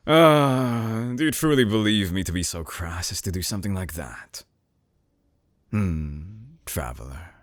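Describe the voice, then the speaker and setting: A man playing a suave, obsessive villain scientist in an intimate audio drama, murmuring with silky condescension in a breathy, theatrical way, close to the mic. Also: lively intonation, unhurried, dry recording. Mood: teasing, proud. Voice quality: low, breathy, husky murmur. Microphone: close binaural microphone.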